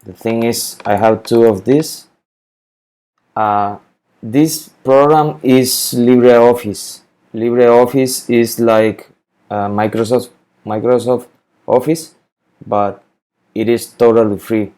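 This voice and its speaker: Guy slowly walking you through a spreadsheet, mellow voice, keeps restarting his words. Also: mid-range voice, steady tone. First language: Spanish. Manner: halting, repeats words, many fillers. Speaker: spreadsheet tutorial maker. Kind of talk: screen-recorded software walkthrough.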